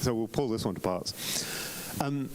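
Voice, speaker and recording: A man's voice: fairly low pitch, unhurried. Chatty man critiquing photos, faint hiss. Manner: chatty, self-correcting, frequent ums and restarts. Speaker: photo competition reviewer. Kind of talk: live-streamed club critique. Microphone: live stream.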